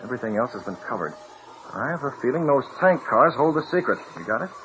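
A man's voice: medium pitch, sing-song, quick pace, a bit bright. A man acting a commanding, secretive masked vigilante in an old radio adventure, clipped and authoritative. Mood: urgent, commanding. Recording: dull noisy old radio recording.

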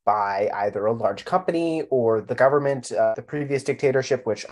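A man co-hosting a podcast in casual discussion, speaking haltingly and doubling words for emphasis. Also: mid-range voice, darkish tone. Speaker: podcast co-host.

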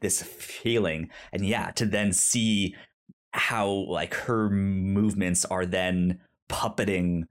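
Guy on a movie podcast, fairly low voice, stutters and restarts a lot, excited chat. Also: slow. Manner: heavy stutter on first sounds, many restarts; animated, searching for words. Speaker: podcast co-host. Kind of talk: casual film discussion.

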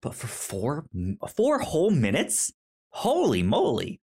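Animated podcast co-host with lively, swooping pitch. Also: slightly rough. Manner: halting, with stammered restarts and repeated words. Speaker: podcast co-host reviewing films. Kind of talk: casual chat, film review.

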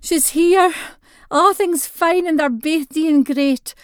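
A woman voicing an excited grandmother with a North-East Scottish accent in a short story. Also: high voice, slow, dry recording, studio quality, pitched above the performer's own voice. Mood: excited shouting. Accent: North-East Scottish (Doric). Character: excited grandmother announcing a baby's birth.

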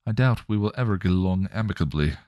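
A prim, fussy young gentleman who speaks formally and properly, as a man puts him on in a very low voice. It is recorded close and dry. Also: lively intonation, fast, dry recording.

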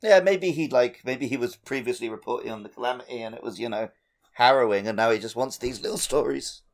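A man with plenty of vocal fry and a medium-pitched voice. He speaks as a podcast co-host, chatting about games in an informal, conversational way. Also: fast. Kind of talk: casual gaming podcast chat.

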